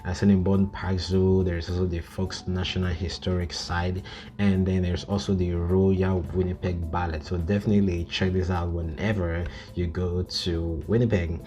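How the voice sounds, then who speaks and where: A man presenting an informal informational list video, speaking haltingly with frequent filler phrases. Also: deep voice, flat delivery, warm tone. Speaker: YouTube presenter.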